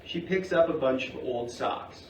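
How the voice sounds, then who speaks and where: A man's voice: medium pitch, measured pace. A man reading out stage directions as scripted narration. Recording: distant mic in echoey room, faint hiss.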